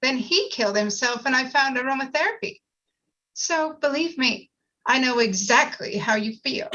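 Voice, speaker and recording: A high, bright voice on a dull recording, acted by a woman at a table read. She plays an earnest, quirky young sitcom woman with offbeat candor. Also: lively intonation, moderate pace. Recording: dull lo-fi sound.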